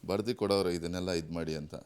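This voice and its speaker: A man's voice: low-pitched, steady tone, fast, darkish tone, smooth. A man talking casually as an actor being interviewed.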